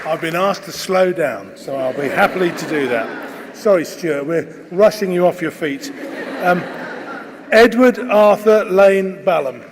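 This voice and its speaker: A man with a high-pitched voice delivers formal ceremonial announcements, projecting politely. The sound is very reverberant, as in a large hall. A loud crowd and heavy noise sit behind him. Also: expressive, measured pace. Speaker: graduation ceremony presenter reading names. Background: loud audience noise.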